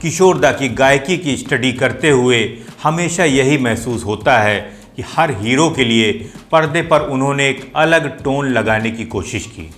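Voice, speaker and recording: A man's voice: mid-range voice, a little slow, few pauses, a bit bright, slight background noise. A man hosting a talk on playback singing, explaining with enthusiasm and admiring rhetorical asides.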